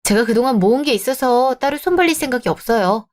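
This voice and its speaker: A composed young woman in a radio drama, proud and firm, speaking in calm, measured sentences, as a woman voices her. She stays polite but does not give ground. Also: a little high, lightly acted.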